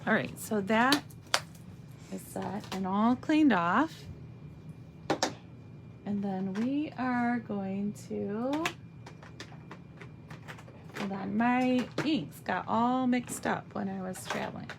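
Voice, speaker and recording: Slow, gravelly woman demonstrating card stamping. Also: medium pitch, slight background noise. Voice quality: raspy. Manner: slow, chatty, thinks aloud while working. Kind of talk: live craft demonstration.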